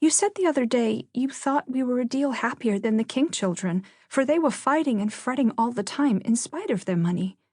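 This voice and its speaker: A woman voicing a shy young girl, a gentle and mild peacemaking sister, in an audiobook. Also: a little high, animated, fairly quick, hardly any pauses, no room sound, clean studio sound, voice pushed up.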